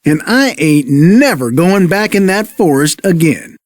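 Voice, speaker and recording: A man voicing a goofy, excitable, easily scared tow truck in a children's read-along, folksy and exclaiming. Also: a little high, a little slow, no room sound, studio quality. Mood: worried.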